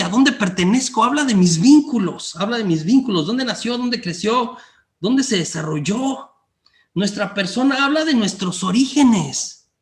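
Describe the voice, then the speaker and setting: A middle-aged man from Guanajuato with a Mexican accent gives a lecture. His voice sits high for a man. He repeats his points and often stops to check that the listeners follow. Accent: Mexican, mixed regional. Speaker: lecturer on theological anthropology. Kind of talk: classroom lecture.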